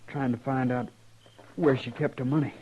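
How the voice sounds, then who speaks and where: An actor playing a fatally wounded young outlaw in a radio Western, speaking haltingly in a weak, labored rustic drawl, bitter but resigned. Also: male voice, mid-range voice, steady tone, lightly acted. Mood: weary, resigned. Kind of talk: old-time radio Western acting. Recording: muffled, noisy old radio recording.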